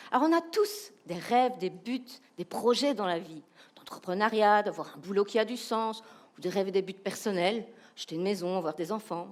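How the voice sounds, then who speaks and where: A woman with a Belgian French accent giving a humorous, animated keynote talk, asking the audience rhetorical questions. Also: mid-range voice. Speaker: keynote speaker, professional coach.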